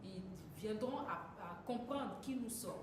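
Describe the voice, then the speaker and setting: A woman interprets a sermon phrase by phrase. She is often hesitant and rephrases herself as she goes. Her voice is mid-pitched and captured from far away in a strongly echoing hall with noticeable noise. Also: animated. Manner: quick, self-correcting, hesitant fillers. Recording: echoey hall from far off, noisy.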